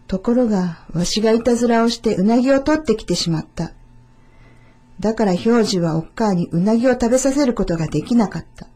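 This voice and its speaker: A woman's voice: medium pitch, mellow, husky. A woman voicing a lonely, mischievous young male fox in a storybook, musing to himself.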